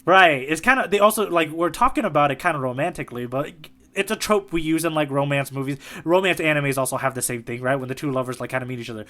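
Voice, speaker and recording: A fast-talking man with a bright, sharp tone and a voice that sits a little high for a man. He chats eagerly with his co-host and keeps checking in with him as he goes, and he sounds keen throughout. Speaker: podcast co-host. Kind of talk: casual manga discussion chat.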